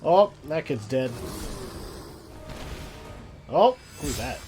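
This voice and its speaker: A man comments casually as he hosts a reaction video. His pitch is lively and mid-range. Faint music sits behind his voice. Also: extremely slow.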